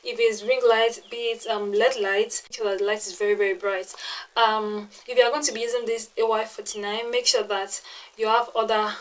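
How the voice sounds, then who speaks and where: A woman's voice: a little high, steady tone, moderate pace, rough recording. A young woman with a Nigerian English accent gives a casual phone-video tutorial from her bedroom. She is chatty, says um often, and repeats points for emphasis. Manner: chatty, repeats herself for emphasis, frequent ums. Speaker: tech and lifestyle YouTuber. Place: plain-walled bedroom, indoors. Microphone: small external clip-on mic.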